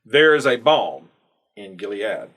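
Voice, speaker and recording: A man reading a scripted church devotional aloud in a measured, formal way, pausing between phrases. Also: on the low side, sing-song, a bit bright. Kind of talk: scripted narration, read aloud.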